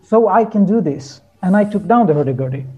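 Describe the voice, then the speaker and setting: High-pitched, mellow-voiced man chatting. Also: lively intonation, a little fast. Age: 30s. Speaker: guest musician, hurdy-gurdy player. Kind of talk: casual interview storytelling.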